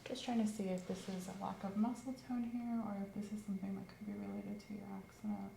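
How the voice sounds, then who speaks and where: Calm woman in clinical ASMR roleplay. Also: mid-range voice, fast, vocal fry. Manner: calm, clinical, gently coaxing instructions. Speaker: ASMR creator playing an examining clinician. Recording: roomy echo, faint background hiss.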